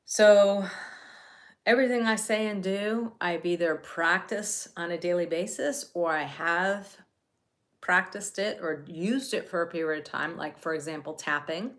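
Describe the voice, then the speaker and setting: A woman's voice: lowish voice, measured pace. A middle-aged woman from New Jersey, talking casually while guiding a self-care healing session, chatty and rambling with many restarts.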